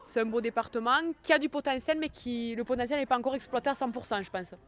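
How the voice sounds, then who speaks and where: Young woman, Ariège southern French accent, slightly high voice, hesitant, lots of fillers. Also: fast talker, fairly bright tone. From Ariège, France. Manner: hesitant, many fillers and restarts. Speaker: interviewee. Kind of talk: interview answers.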